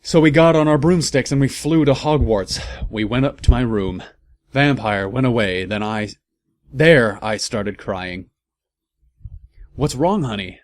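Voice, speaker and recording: A man's voice: mid-range voice, unhurried. A man reading a story aloud as a comedic host, breaking off for wry, amused asides.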